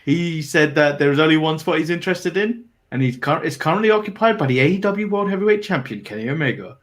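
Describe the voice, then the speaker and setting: Slightly high male co-host recapping matches. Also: expressive, fast. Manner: recaps from notes, hesitant fillers, laughs. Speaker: podcast co-host. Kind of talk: casual wrestling review chat.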